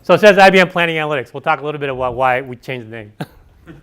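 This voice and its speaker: A man with a heavy Chicago accent talks quickly through a conference session. His voice sits a little high, and his delivery is loose and full of fillers. He keeps turning to the audience with questions. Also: a bit bright, clear, resonant tone. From Chicago area. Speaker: presenter. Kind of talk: conference presentation.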